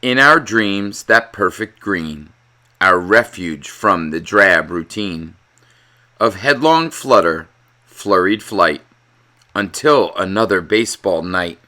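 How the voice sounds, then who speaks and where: A man giving an expressive, dramatic read-aloud in the manner of a children's storyteller. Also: deep voice. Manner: animated, dramatic delivery.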